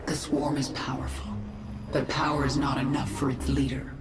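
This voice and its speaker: A woman's voice: very deep voice, little pitch movement, moderate pace. A woman playing a cold, commanding, vengeful queen of an alien swarm in a video game, stern and authoritative. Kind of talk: dramatic video game acting. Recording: echoing effect, under loud music.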